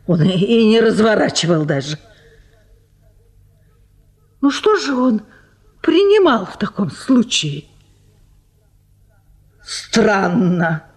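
An actress in a radio drama playing a kindly, sentimental general's wife, genteel and emotional. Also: female voice, fairly high pitch, breathy edge, exaggerated voice. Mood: puzzled. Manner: tender, genteel, effusive, repeats words emotionally. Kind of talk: theatrical Russian radio drama.

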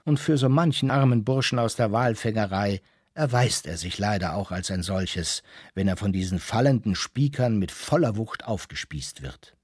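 A man with a husky voice narrates a literary radio play, theatrically lecturing and addressing the listener directly. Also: mid-range voice, darkish tone, dry recording, clean studio sound.

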